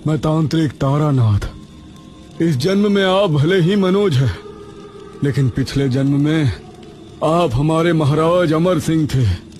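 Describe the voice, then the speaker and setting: A man acts a devoted sorcerer's ghost in an animated fantasy tale. He sounds solemn and deferential, explaining things earnestly in a slightly high voice. Music plays clearly behind him. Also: unhurried, pauses often. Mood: solemn, reverent. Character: ghost of male tantric sorcerer, deferential loyal servant. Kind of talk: animated fantasy story dialogue.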